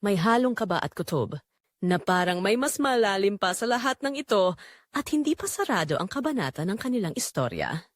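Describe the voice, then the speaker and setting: A woman's voice: mid-range voice, sing-song, brisk, no echo, studio quality. A woman with a Filipino accent narrating a scripted drama story in a dramatic style.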